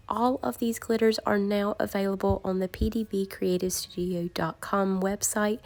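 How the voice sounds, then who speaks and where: A woman narrating a craft tutorial, her voice raspy and hoarse from a cold, explaining each step in a friendly way. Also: medium pitch, a little mellow.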